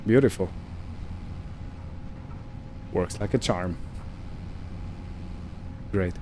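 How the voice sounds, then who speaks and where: A man giving casual let's-play commentary on a video game, musing to himself in an unhurried way. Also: deep voice, background noise. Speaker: gamer narrating own farming simulator playthrough.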